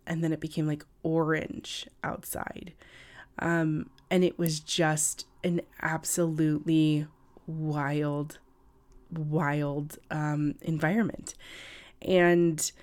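Low-pitched raspy woman podcaster, slow pace. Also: little pitch movement, darkish tone. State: hoarse from a cold and cough. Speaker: podcast host. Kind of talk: casual solo podcast talk. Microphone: cough drops in mouth, podcast recording.

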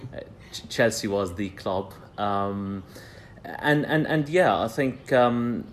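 A man in his thirties from Palestine speaks as a podcast guest in a very low, deep voice. He talks casually and haltingly, looping through fillers and false starts, over a faint low rumble. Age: 30s. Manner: hesitant, many fillers and restarts.

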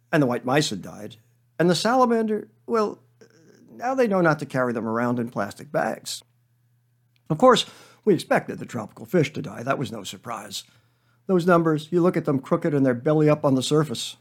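A man reading a comic story monologue as a weary, defensive schoolteacher who rambles in a deadpan, hesitant way. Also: on the high side. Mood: rambling, defensive. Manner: deadpan, hesitant, self-justifying digressions.

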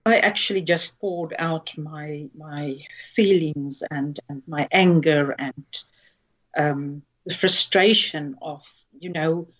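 Slow, low-voiced woman. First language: Afrikaans. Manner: hesitant, earnest, many fillers and restarts. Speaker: poet guest. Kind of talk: interview conversation and poetry reading.

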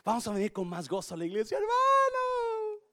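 A male pastor with a Spanish accent, preaching a sermon that switches between Spanish and English and swings from quiet to shouting. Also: very high-pitched, wildly swooping pitch, moderate pace. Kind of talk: bilingual sermon.

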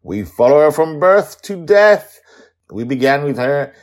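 A man hosting and narrating a fiction podcast with animated, swooping emphasis. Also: fairly high pitch, a little slow. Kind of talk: storybook narration.

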